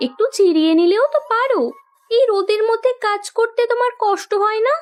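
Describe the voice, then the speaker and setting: A woman playing an earnest young landlord's daughter in a Bengali cartoon, gently protesting and caring. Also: very high voice, animated, a little fast, faint music behind.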